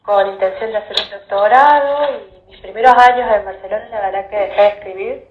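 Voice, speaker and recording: A woman's voice: mid-range voice, fairly quick. Woman author on a tinny phone-like line. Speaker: novelist guest.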